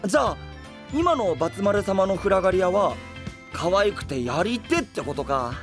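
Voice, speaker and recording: An eager boyish knight in an anime drama. The voice is high and animated, casual and bursting with cheer, over clearly audible music. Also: male voice, normal speed. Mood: curious. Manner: casual, exuberant boyish talk. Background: background music.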